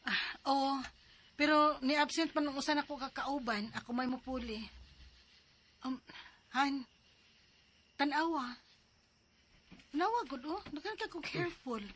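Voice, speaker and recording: A woman's voice: sits high, a little slow, long pauses, a bit bright, a little hiss or hum. A woman playing herself in a radio drama, then reading her worried letter asking for advice. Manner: worried, pleading. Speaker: letter sender and drama lead.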